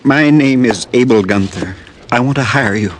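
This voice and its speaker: An actor playing a sick, tired farmer from the West Indies in a 1950s radio drama, talking quietly and haltingly. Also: male voice, mid-range voice, background noise, natural voice. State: ill, weak. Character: sick weary Haitian farmer, middle-aged man.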